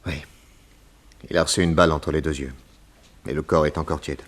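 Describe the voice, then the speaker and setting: An actor playing a calm, methodical male detective in a radio serial, reassuring and measured as he explains. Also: rumbling low. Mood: assured, reasoning.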